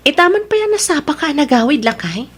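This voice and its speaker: A woman with a Filipino accent acting a trusting, gentle wife who questions things, in an audio drama. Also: sits high, very animated, brisk. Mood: curious. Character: trusting, gentle young wife growing suspicious.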